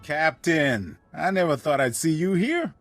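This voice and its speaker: A sneering game henchman, mocking and excitable. The voice is rough and husky, swinging up and down over background music. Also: fairly high pitch, a little slow, heavily acted. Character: sneering, excitable male small-time crook henchman. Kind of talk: video game cutscene acting.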